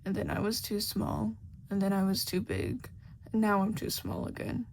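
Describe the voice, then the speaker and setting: Bewildered man lost in a fantasy land, read by a woman. Polite, hesitant, plain, slightly gravelly, faint noise. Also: mid-range voice, level tone, moderate pace, darkish tone, natural voice. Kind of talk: storybook character voice.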